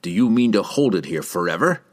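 A man's voice: on the low side, animated, brisk, natural voice. A man voicing an impatient, stern knight who talks curtly. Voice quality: curt, snapping.

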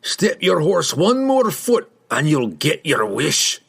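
Fantasy audiobook dwarf: growling, hot-headed, rustic, big swings in pitch. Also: male voice, very animated, slow, gravelly, a bit bright, husky, full character voice. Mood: defiant warning. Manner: growling, barking, rustic folksy speech. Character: gruff, defiant, quick-tempered male dwarf warrior.

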